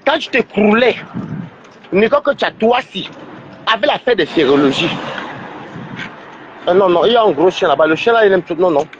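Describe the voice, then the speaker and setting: A man's voice: sits high, animated, a little fast, long pauses, highs cut off, background noise. A man with a cold, rambling and scolding on a livestream, with many stumbling restarts. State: stuffy with a cold.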